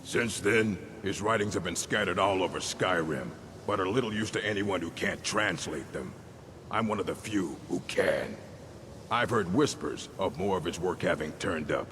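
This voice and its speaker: An actor playing a gruff, shrewd old orc librarian in a video game, with a blunt, dry manner. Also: male voice, normal speed, close to an everyday voice. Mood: businesslike, shrewd. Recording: clear low rumbling background noise.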